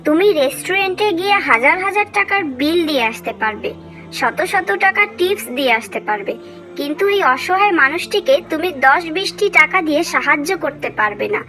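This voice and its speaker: A high, bright female cartoon voice, acted by a woman as a gentle and devout moralizer. The delivery is scripted, earnest and preachy, with music underneath. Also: animated, brisk, rough recording. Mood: earnest, admonishing.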